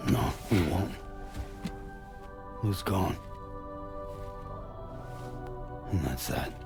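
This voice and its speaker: A man's voice: deep voice, expressive, slow, creaky, over music, exaggerated voice. A terse, raw grieving father. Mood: bitter, resigned. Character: grieving father in denial, gruff weary traveller. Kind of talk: dramatic video game cutscene acting.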